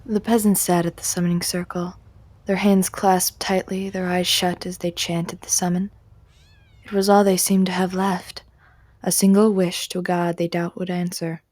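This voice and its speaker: A woman speaking gently and quietly in a scripted roleplay, as a storyteller reading aloud. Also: fairly low pitch, little pitch movement, moderate pace, no echo.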